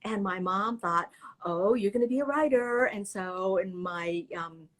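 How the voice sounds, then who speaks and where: A woman's voice: medium pitch, measured pace, a bit bright. A middle-aged woman, a children's book author whose first language is Cantonese, chatting animatedly and laughing often in a live interview. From Canada. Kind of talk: casual live interview chat.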